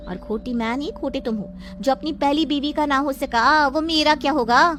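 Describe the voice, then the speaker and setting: A woman voicing a vain, spoiled young wife in a moral story, haughty, sharp-tongued and theatrical. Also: high-pitched, normal speed, soft background music. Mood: defiant.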